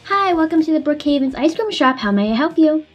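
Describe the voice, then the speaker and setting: A woman's voice: expressive, a little fast, faint music behind. A woman voicing a meek, timid female shop clerk in a game roleplay. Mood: cheery. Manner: squeaky, timid. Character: bright-greeting female shop clerk, easily frightened, meek. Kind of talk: Roblox roleplay acting.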